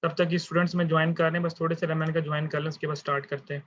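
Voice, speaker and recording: A man lecturing online, calling the roll in a calm, fatherly way and addressing his students as "beta". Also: a little high, very fast, a little hiss or hum. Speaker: university lecturer. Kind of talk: online class roll call. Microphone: online class call. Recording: dull lo-fi online call audio.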